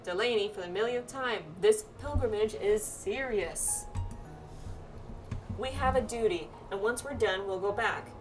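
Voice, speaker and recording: A woman voicing a serious, dutiful young pilgrim from a fantasy game, in an earnest, measured manner. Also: mid-range voice, recorded from a distance, soft background music, natural voice. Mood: stern.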